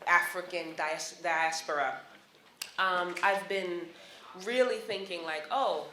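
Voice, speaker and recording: A woman's voice: lowish voice, bright, forceful tone, echoey room, slight background noise. Chatty laughing woman from the audience. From Barbados, raised in Brooklyn, New York. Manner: chatty, laughing often, rambling. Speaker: audience member asking a question. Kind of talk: casual question from the floor.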